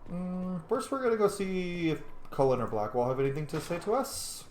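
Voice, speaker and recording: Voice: mid-range voice, very animated, moderate pace, darkish tone, slight background noise. A gamer giving casual, playful playthrough commentary, chatty and full of interjections. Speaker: gaming streamer. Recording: roomy, faint game ambience. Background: faint video game ambience.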